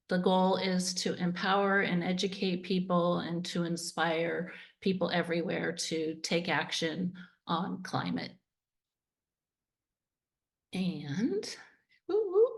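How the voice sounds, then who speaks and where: A woman's voice: on the low side, vocal fry. A woman hosting a webinar on a video call, enthusiastic and talking with many fillers. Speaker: event host. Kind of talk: webinar introduction.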